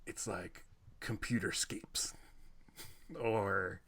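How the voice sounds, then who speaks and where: A man's voice: sits low, steady tone, very slow, gravelly, a bit bright. A man co-hosting a podcast, chatting about a film in a laid-back, questioning way. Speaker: podcast co-host. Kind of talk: casual movie discussion.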